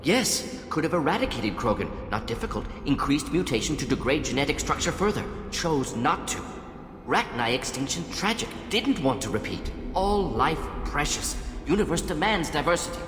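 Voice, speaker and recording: A man's voice: fairly high pitch, big pitch swings, normal speed, fairly bright tone. A male actor playing a proud, conflicted alien scientist in a video game, speaking in clipped, telegraphic phrases with small words dropped. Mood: defensive, emphatic. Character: fast-thinking alien scientist doctor, proud, conflicted, defensive. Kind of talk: dramatic video game dialogue. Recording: echoey hall reverb, music behind.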